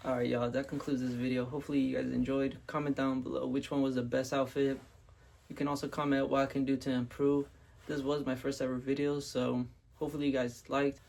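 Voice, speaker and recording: Raspy young man with a flat voice. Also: medium pitch, brisk. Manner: laid-back slangy talk to viewers. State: raspy from being sick. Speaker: fashion vlogger. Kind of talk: casual clothing review and styling vlog. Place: at home.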